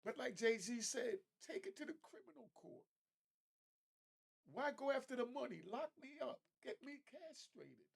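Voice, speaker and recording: A man's voice: very high-pitched, very animated, unhurried, creaky, husky. A man giving casual, opinionated commentary in an emphatic, repetitive way, with street slang. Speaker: commentator reacting to a news clip.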